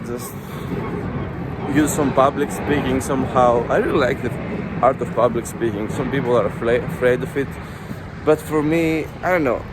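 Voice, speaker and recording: A man's voice: medium pitch, background noise. A Greek man in his twenties with a Greek accent, chatting casually in a vlog while walking along a snowy street. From Greece. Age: 20s. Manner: chatty, rambling with fillers. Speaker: vlogger.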